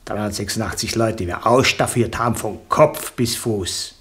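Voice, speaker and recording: An actor playing a dry, coldly calculating ministry official in a radio play, deliberate and matter-of-fact, with an Austrian accent. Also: male voice, fairly low pitch, a bit bright, close to an everyday voice. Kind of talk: German-language radio play dialogue.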